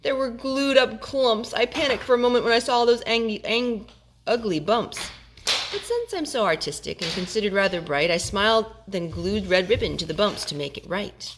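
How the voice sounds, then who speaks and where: A woman reading a rhyming picture book aloud to young children, with expressive, gently dramatic storytelling. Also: medium pitch, moderate pace, slight background noise.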